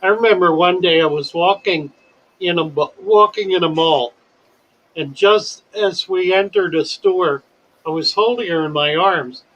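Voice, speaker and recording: Slow-talking older man hosting a stream. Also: sits high, a bit bright, dull audio. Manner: slow, wordy, deliberate storytelling. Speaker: livestream host. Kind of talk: casual chat.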